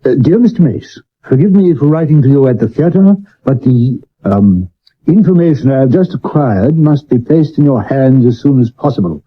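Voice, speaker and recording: A pompous Victorian actor, muffled broadcast. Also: male voice, mid-range voice, expressive, moderate pace, breathy edge. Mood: reading aloud. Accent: British. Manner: pompous, grandiloquent, given to sudden shouts. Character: theatrical hard-drinking Victorian actor, vain, imperious, bored. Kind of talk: 1970s British radio drama acting. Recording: muffled old medium wave radio recording.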